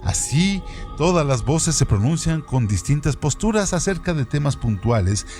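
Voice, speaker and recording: A man reading scripted literary radio narration in a formal, measured way. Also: a little high, very animated, a little mellow, soft background music.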